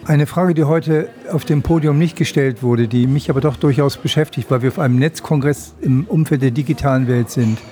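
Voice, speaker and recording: A man's voice: mid-range voice, a little fast, hardly any pauses, mellow. A man, interviewing a guest for radio with long, elaborate questions. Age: 60s.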